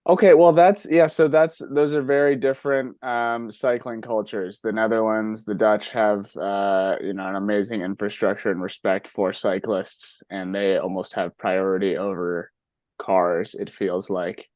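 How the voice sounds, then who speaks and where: A man's voice: on the low side, flat delivery, unhurried, a little mellow, no room sound. A middle-aged man hosting an interview, asking friendly questions with many false starts. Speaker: podcast host. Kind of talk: casual interview.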